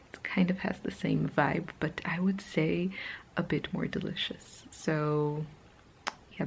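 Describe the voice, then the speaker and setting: A woman's voice: deep voice, gravelly, fairly bright tone. A woman in her thirties with a slight Israeli accent, chatting casually in a vlog from her hotel room, rambling with lots of fillers and sometimes dropping to a whisper. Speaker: art vlogger.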